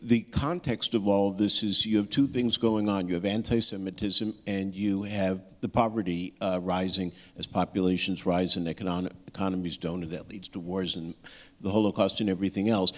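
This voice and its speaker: A man's voice: low voice, fairly even intonation, normal speed. A man in an audience setting out context and posing a question. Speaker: audience member asking a question. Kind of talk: long question with preamble.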